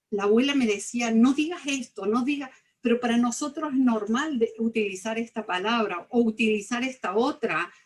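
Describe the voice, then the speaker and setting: A woman's voice: a little high, distant mic. A middle-aged Argentine woman pastor telling a personal story in a church class, acting out the voices of others as she speaks. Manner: animated, acts out quoted dialogue. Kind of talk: conversational testimony in a church class.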